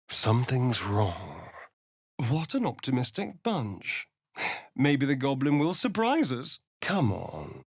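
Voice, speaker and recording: Sly flamboyant male vampire for a video game, slow arch drawl, pitch swoops around, dry close sound. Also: breathy edge, heavily acted.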